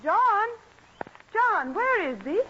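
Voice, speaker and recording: An actress playing a practical colonial Quaker wife in an old radio drama, prim and questioning, with old-fashioned speech. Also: female voice, extremely high pitch, big pitch swings, takes it slowly, exaggerated voice. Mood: concerned. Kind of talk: mid-century radio drama acting. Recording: dull old radio recording, faint noise.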